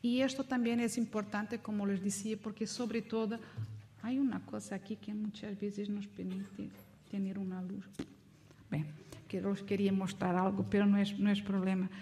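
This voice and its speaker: A woman academic from Mozambique gives an informal lecture in a language that is not her first. She has a Mozambican Portuguese accent and a mid-pitched voice. Her manner is reflective and explanatory, with non-native phrasing. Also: level tone, moderate pace, dark tone, a little hiss or hum. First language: not Spanish, learned it as an adult; also speaks Portuguese. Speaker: academic speaker.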